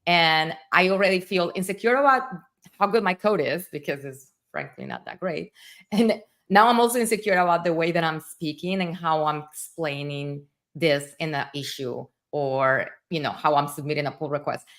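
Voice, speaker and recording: A woman's voice: low voice, lively intonation, normal speed, fairly bright tone. A woman hosting a livestream, a native Spanish speaker with a Spanish accent, talking in an enthusiastic, chatty way full of fillers. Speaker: livestream host. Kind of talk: casual livestream conversation.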